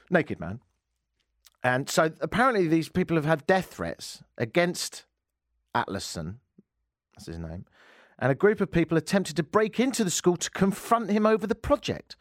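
A male radio host with a slightly raised, very animated voice, full of sardonic asides. His rambling chat sits dry and close on a studio mic. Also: fairly high pitch, no room sound.

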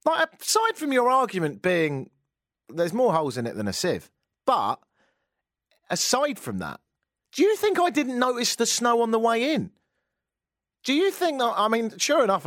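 A man's voice: mid-range voice, no room sound, studio quality. A man hosting a radio show, delivering a mocking, exasperated comic rant.

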